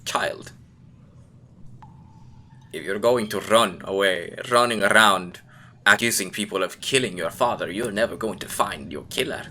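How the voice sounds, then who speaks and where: A game master voicing a cagey older man who once knew the hero's late father and talks in a slow, lecturing way. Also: fairly low pitch, bright, forceful tone, slight background noise. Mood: guarded. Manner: cagey, lecturing, speaks slowly.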